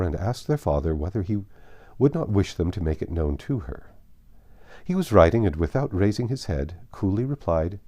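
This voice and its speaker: A man reads a classic novel aloud as a narrator. His voice is mellow and fairly low. His intonation moves widely through the scripted prose. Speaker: audiobook narrator.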